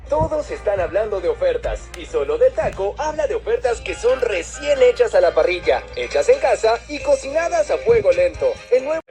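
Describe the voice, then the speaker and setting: A man's voice: high voice, big pitch swings, quick pace, hardly any pauses, warm tone, faint music behind. A man reading a scripted commercial as a radio announcer, heard in a played-back broadcast.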